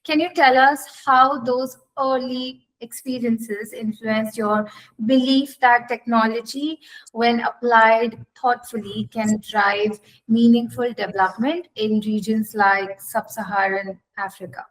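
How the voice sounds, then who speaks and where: Woman host doing a formal interview, kinda high voice, measured scripted questions. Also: steady tone, rough recording.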